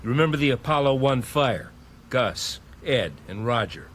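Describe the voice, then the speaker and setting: An actor playing a blunt, hot-tempered American astronaut colonel in a radio drama, indignant and given to swearing. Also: male voice, medium pitch, lively intonation, moderate pace, fairly bright tone, slight background noise, natural voice.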